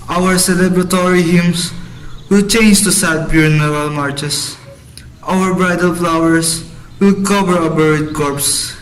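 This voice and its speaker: A man's voice: on the high side, slight background noise, lightly acted, low-quality recording. A man playing a grief-stricken parent lamenting a dead child in an amateur radio drama.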